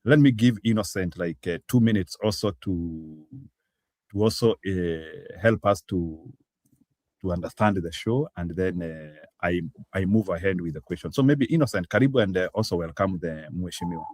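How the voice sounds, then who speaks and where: Deep-voiced Kenyan man hosting a call. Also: moderate pace, darkish tone, dry recording. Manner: hesitant, many fillers and restarts. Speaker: online show host. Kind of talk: casual talk show interviewing.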